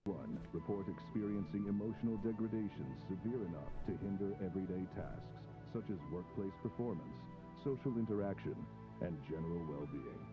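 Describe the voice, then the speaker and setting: A man's voice: sits low, normal speed, close to an everyday voice. A man playing a formal, clinical announcer narrating an eerie drug infomercial. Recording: dull lo-fi tape with music behind.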